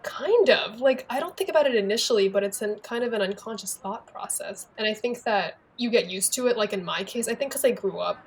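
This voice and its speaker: A woman's voice: fairly high pitch, fairly quick, hardly any pauses, a little hiss or hum. A young woman from the United States, talking casually in a documentary interview, with lots of "like". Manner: casual, frequent "like" fillers.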